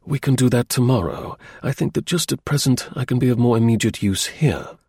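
A man voicing a brisk, observant gentleman detective in a storybook, incisive, courteous and authoritative. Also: medium pitch, husky, no room sound, studio quality. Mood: calm, decisive.

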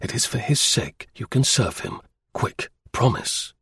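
Man playing a commanding gentleman detective, gravelly edge. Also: mid-range voice, lively intonation, fairly quick, no echo. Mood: intense, urgent. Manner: incisive, courteous, authoritative.

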